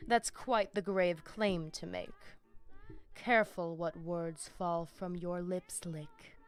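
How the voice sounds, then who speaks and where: An actress playing a formal, menacing vampire bishop in a video game, speaking deliberately. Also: female voice, fairly low pitch, steady tone, smooth. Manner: theatrical, deliberate, ornate phrasing. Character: female vampire bishop, menacing, formal, zealous sect leader. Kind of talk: video game dialogue acting.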